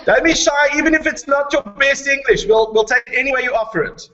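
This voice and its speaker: A man's voice: very high-pitched, quick pace, bright, forceful tone. A man with a South African accent hosting a live classroom video call, informal and directive as he moves people along. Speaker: host, educator. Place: room with students, on a video call. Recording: muffled lo-fi video call with background music.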